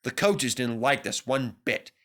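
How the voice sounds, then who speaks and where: Animated man reading a children's story. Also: mid-range voice, unhurried, very noisy. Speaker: storybook narrator. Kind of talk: expressive scripted children's story narration.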